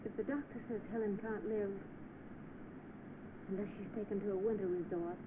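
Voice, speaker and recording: A woman's voice: mid-range voice, fairly even intonation, moderate pace, lightly acted. A woman playing a self-sacrificing young working woman in 1930s film style, restrained and resigned. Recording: noisy, tinny old film soundtrack.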